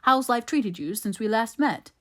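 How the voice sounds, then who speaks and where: A woman voicing a bullying, sneering man in an audiobook, rough and insistent, quick to anger. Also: fairly high pitch, lively intonation, pitched above the performer's own voice, close to an everyday voice. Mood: sneering, goading.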